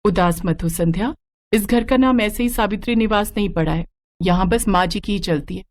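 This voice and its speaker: A woman's voice: mid-range voice, normal speed, close to an everyday voice. A woman voicing an obedient elder sister-in-law in a story, with a consoling, resigned manner.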